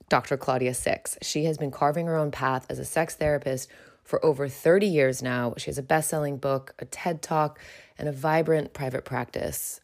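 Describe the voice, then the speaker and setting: Female podcast host, low voice, peppy and casual. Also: creaky. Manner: upbeat, laughs often, casual swearing. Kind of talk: podcast interview.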